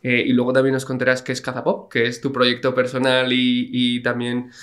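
A young man hosting a podcast, casual and friendly, with frequent "eh" hesitations, at a boom-arm studio mic in a small studio. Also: completely flat delivery, normal speed, fairly bright tone. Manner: friendly, hesitant with many "eh" and "ujú". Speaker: podcast host. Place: small studio with wood panels and a plant. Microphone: large studio mic on a boom arm, headphones.

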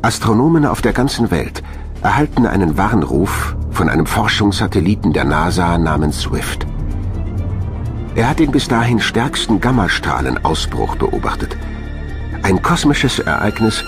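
A man reading scripted documentary narration in a measured, dramatic way. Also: on the low side, big pitch swings. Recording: voice over a music bed. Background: music.

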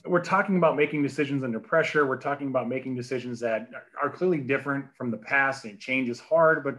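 A middle-aged American man moderates an online session in a medium-pitched voice. He puts his questions in a conversational style over a video call. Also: quick pace, a bit bright. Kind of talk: conversational interview hosting.

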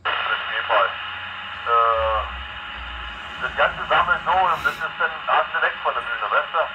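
A man's voice: mid-range voice, lively intonation, a little slow. A man chatting in a colloquial, rambling way over CB radio. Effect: heard over CB radio. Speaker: CB radio operator. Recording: muffled noisy CB radio reception.

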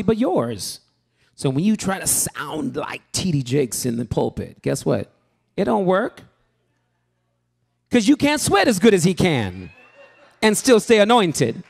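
A man teaching a sermon-preparation workshop to a live group in a church hall, animated and joking, calling out to the room. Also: a little high, vocal fry. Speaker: preaching instructor.

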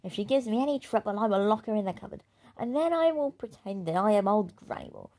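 A shrill, brash little bully girl who jeers loudly and crudely at everyone, as a woman reading a storybook puts her on. Her voice leaps high with mockery and bold scorn. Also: lively intonation, fast, natural voice. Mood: scheming. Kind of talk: storybook read-aloud.

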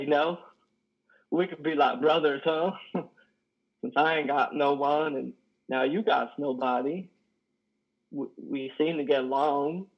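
A man acts out a lonely trucker confessing in a play reading. The voice is fairly high for a man and raspy, halting and folksy. The video call audio is slightly dull. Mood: shyly hopeful. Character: lonely, guilt-ridden, worn-out male trucker confessing. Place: living room, on a sofa. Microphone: headset on video call.

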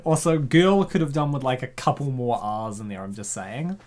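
A man's voice: mid-range voice, moderate pace. A man narrating a comic dub, breaking off often to joke and laugh at the story. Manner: joking, laughs often, animated. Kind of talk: narration with joking commentary.

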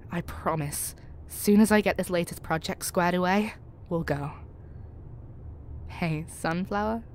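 Woman acting confident wry lady spy in an audio rp, lowish voice, some background ambience. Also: slight background noise, lightly acted. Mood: tender, teasing. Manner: brisk, wry, self-assured. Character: tough, confident woman spy with a tender private side.

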